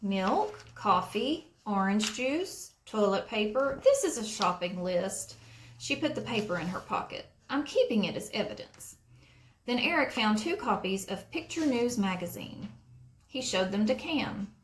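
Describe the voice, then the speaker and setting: A woman narrates a children's story with deliberate, expressive phrasing. Her pitch moves in lively swings, and the sound is roomy and echoing. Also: a little hiss or hum. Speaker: storybook reader. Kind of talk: read-aloud narration for children.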